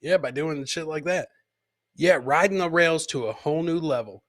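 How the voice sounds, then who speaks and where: A man's voice: fairly high pitch, moderate pace, a bit bright. A man hosting a live comedy podcast, casually commenting on fail clips and repeating his own lines as he chats with viewers.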